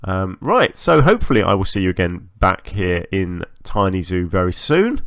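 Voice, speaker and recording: A man giving casual, chatty commentary as host of a gaming channel, a little hoarse from a long recording session, hesitant and self-effacing. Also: deep voice, sing-song, normal speed, no echo, studio quality. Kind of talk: casual game build commentary.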